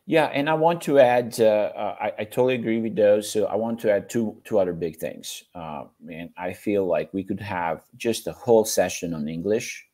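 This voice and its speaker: A man's voice: fairly low pitch, normal speed, few pauses, mellow. A man whose first language is Serbian, giving encouraging sales feedback in English with frequent restarts during an online session. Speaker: sales mentor.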